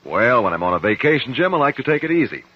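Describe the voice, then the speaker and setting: An actor playing a calm, worldly crime investigator in a 1950s radio drama, speaking in a measured, grave way. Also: male voice, medium pitch. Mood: relaxed. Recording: dull, muffled 1950s radio recording.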